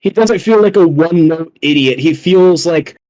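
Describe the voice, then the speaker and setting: Earnest higher-voiced man chatting casually. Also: moderate pace, a little mellow, poor audio. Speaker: podcast panelist discussing films. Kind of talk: casual chat.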